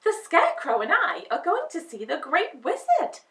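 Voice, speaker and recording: A woman playing a shy but plucky young girl in a storybook, earnest and expressive. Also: very high voice, moderate pace, pitched above the performer's own voice, full character voice. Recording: roomy, distant microphone.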